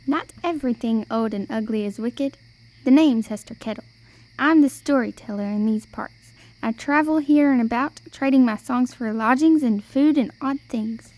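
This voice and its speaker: A woman's voice: fairly high pitch, normal speed, darkish tone, close to an everyday voice, rough recording. A young woman playing a sly, folksy old travelling storyteller in an amateur radio play. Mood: wry. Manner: folksy, old-fashioned phrasing.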